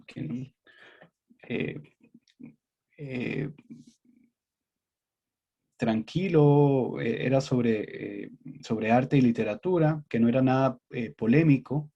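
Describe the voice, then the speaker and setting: A Costa Rican man, a guest researcher, answering questions in a measured academic way with many pauses and fillers. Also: medium pitch, warm tone, breathy edge. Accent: Costa Rican Spanish. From Costa Rica.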